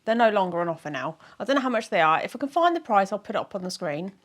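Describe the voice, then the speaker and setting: Middle-aged woman, croaky from a sore throat, chatty, giggly vlogger. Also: mid-range voice, sing-song, racing. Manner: chatty, giggly, self-mocking, rambling with fillers. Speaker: weight-loss vlogger. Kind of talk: casual chat to camera.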